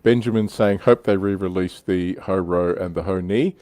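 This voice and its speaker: Male livestream host, very deep voice, informal and wry, chatting casually with close, dry sound. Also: moderate pace, mellow, no room sound. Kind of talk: casual hobby panel chat, reading out viewer comments.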